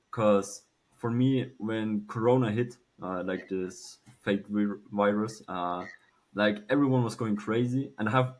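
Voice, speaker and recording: A man, telling a personal story casually and haltingly, with some swearing. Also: fairly low pitch. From Switzerland. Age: early 20s. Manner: halting, informal, swears freely. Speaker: podcast guest, young entrepreneur.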